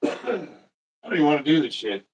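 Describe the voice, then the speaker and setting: A congested man in his fifties, short of breath, chatting casually on a livestream, swearing and often clearing his throat. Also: on the high side, sing-song, a little fast, poor audio. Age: 50s. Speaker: livestreaming powerlifter.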